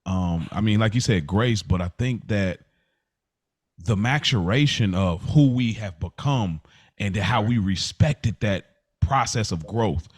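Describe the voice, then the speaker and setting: A man with a fairly low voice talks as a podcast co-host. His delivery is informal and slangy, with an earnest storytelling feel. The sound is dry, with very little room on it. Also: measured pace, breathy edge, no echo. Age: adult. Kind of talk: casual personal storytelling.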